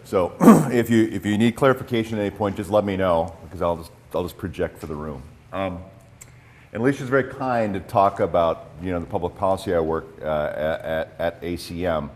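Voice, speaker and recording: A man giving a public policy presentation at a conference, with a booming, projecting voice and a relaxed way of talking full of fillers and restarts. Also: a little fast. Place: high school room at a conference. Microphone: handheld microphone.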